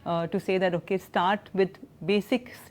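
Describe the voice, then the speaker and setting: A woman's voice: medium pitch, fairly even intonation, normal speed, darkish tone. A woman with an Indian accent explaining in a conversational interview as a guest expert, emphatic and full of small asides.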